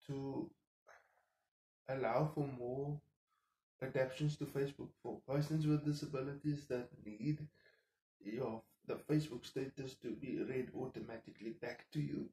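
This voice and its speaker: A man in his thirties whose first language is Afrikaans, talking quietly to camera in his home kitchen and thinking out loud with lots of fillers. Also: medium pitch, roomy sound, rough recording. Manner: subdued, keeps voice down so as not to wake the household. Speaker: vlogger. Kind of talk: casual monologue to camera.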